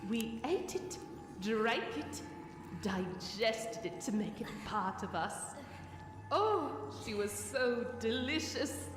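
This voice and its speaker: A woman's voice: a little high, expressive, slow pace. A woman voicing a gloating parasitic monster in an audio drama, theatrical and savoring its cruelty. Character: gloating parasitic monster wearing a dead pony's body. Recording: echoing, long reverb over music.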